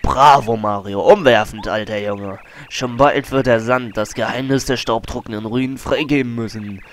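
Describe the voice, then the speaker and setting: A man's voice: medium pitch, steady tone, normal speed, a little hiss or hum, lightly acted. Guy doing a smug boastful explorer from a game, puffed up and drawn out. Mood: pompous. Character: pompous male explorer archaeologist, boastful. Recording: faint game music behind.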